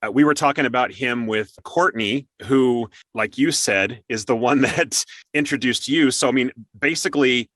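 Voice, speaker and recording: Male interview host, bright forward voice, dry close sound, chatty and encouraging. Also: mid-range voice, normal speed, hardly any pauses, no room sound. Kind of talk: casual interview chat.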